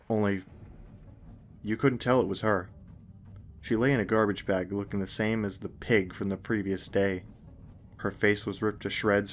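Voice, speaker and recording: A man reading a scripted first-person horror story as narrator. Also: lowish voice. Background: faint music.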